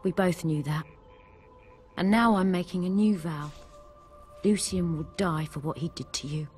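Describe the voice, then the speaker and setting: A woman's voice: on the low side, measured pace, over music, lightly acted. Actress as blunt, defiant young warrior woman. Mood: grieving, vengeful. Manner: blunt, forceful, emotional. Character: hot-tempered, defiant young warrior woman, former monk. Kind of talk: scripted video game dialogue.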